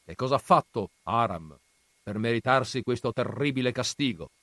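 Male reader as elderly patriarch, booming and commanding, dry close recording. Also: animated, moderate pace, sharp tone, dry recording. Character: stern old Armenian grandfather, patriarch.

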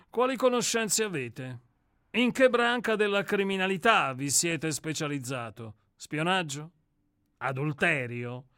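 A man acts out a haughty famous author in a radio drama. The voice is bright and forceful, a little high for a man, in a dry studio sound. He is curt, worldly and used to giving orders. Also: smooth, dry recording, full character voice. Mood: amused, condescending. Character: imperious, irritable celebrated writer, haughty and worldly. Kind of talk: radio play acting.

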